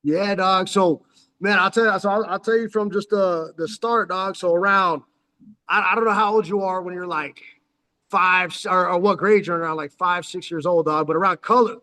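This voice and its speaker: A man's voice: high voice, fairly even intonation, moderate pace, sharp tone, long reverb tail. A man in his forties, born in Texas and raised in San Diego, telling his story as a guest in a loose, rambling way, full of street slang and "dog.". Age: 40s. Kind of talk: casual storytelling interview.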